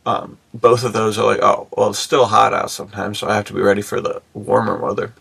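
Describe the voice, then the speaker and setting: A man co-hosting a podcast in a laid-back, slangy, conversational way. His voice is very creaky and somewhat husky. It is recorded close and dry, with little room sound. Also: on the low side, steady tone, fairly quick, a bit bright, dry recording. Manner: laid-back slangy talk, says "dude" often. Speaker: podcast host. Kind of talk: casual podcast chat.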